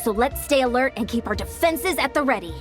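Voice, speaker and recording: A woman voicing a cautious, level-headed commander who talks in a measured way. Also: high voice, expressive. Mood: wary. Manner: measured, wary. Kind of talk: video game voice acting. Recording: game dialogue over music.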